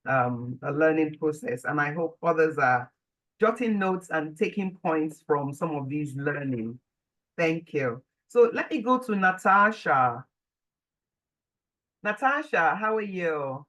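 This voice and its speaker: A woman moderating an online panel, speaking deliberately and repeating phrases as she goes. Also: lowish voice, a bit bright, low-quality recording. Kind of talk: hosting an online panel discussion.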